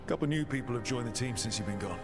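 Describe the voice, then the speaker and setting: A man voicing a dutiful, earnest team leader in a video game, measured and in command. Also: mid-range voice, lively intonation, over music, natural voice.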